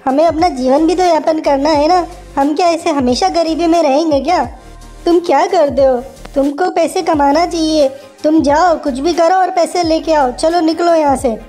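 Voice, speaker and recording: A woman voicing a poor priest's nagging wife who scolds and demands money in a loud, high voice. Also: moderate pace, soft background music, natural voice. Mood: angry, pushy.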